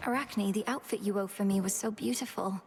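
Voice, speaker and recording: A woman's voice: mid-range voice, steady tone, fairly quick, a little mellow, natural voice. A woman playing an earnest, dutiful young witch princess in a video game, speaking formally and with determination. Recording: music underneath.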